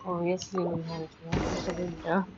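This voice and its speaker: A woman's voice: fairly low pitch, level tone, moderate pace. A woman giving casual commentary as she streams a video game. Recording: muffled stream mic with clear noise.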